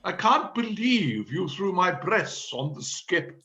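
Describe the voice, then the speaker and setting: Man playing a pompous, highly strung panto director, high-pitched. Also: sing-song, takes it slowly, sharp tone, heavily acted. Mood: indignant, flustered. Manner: pompous, theatrical, exasperated. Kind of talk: play reading of a stage comedy.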